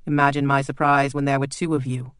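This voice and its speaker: A smug, sneering lord who draws out his words with oily charm, as a narrator puts him on. The voice is a bit louder and slower than the narration. It is dry and close-miked. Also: medium pitch, little pitch movement, clean tone, dry recording, natural voice. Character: smug, sneering lordly man, host of a castle.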